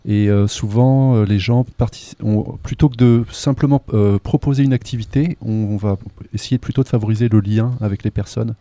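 A man from a local arts association answering interview questions, halting, with many fillers and restarts. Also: lowish voice, warm tone, no echo. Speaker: association member. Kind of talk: hesitant radio interview answer.

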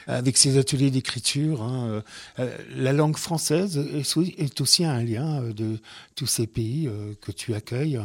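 A man's voice: medium pitch, measured pace, no room sound, studio quality. A man interviewing a guest, asking long questions with fillers. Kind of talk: radio interview.

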